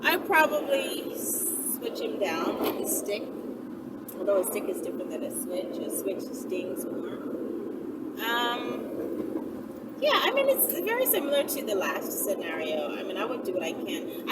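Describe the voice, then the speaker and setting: A woman chatting casually and hesitantly as a video host, circling back and repeating herself as she answers questions. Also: fairly high pitch. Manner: hesitant, repeats and circles back, often ends points with "right?". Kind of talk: casual rambling chat to camera. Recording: distant mic, loud vehicle and road noise.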